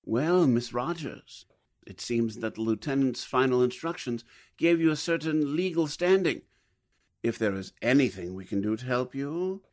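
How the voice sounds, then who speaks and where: A man's voice: mid-range voice, expressive, normal speed, a little mellow, close to an everyday voice. A man playing a courteous but aggrieved businessman with a formal, polite manner, in 1940s radio drama style. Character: courteous, aggrieved businessman, a wholesale leather dealer.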